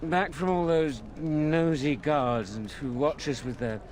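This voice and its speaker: A man's voice: a little high, a little slow, a little mellow, lightly acted. A man playing a drunken, rebellious tavern rabble-rouser in a video game, rambling and slurring as he boasts. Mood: drunken defiance.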